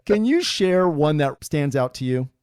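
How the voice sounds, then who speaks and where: A man's voice: a little high, animated, measured pace, darkish tone, clean tone, no echo, studio quality. A man hosting a podcast, asking questions and affirming his guest often. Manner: encouraging, frequent short affirmations. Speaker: podcast host, interviewer.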